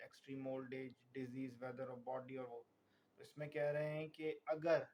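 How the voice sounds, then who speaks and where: A man with a Pakistani accent lecturing as a tutor, reading formal text and explaining it in a deliberate, didactic way. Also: mid-range voice, a little mellow, slight background noise. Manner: deliberate, didactic, repeatedly checking understanding. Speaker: law tutor.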